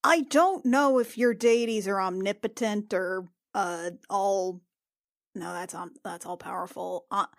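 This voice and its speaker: A woman's voice: medium pitch, vocal fry, no room sound. Sweary woman player, dry close sound. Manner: sweary, halting. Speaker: player in a tabletop roleplaying game. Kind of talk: casual in-character improvised chat.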